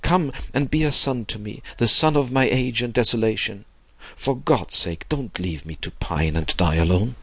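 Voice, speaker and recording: Man acting an effusive, lonely old gentleman, swooping voice. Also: on the low side, sing-song, measured pace, no room sound, pitched above the performer's own voice, heavily acted. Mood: desperate pleading. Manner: exclamatory, pleading, theatrical. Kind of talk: storybook character dialogue.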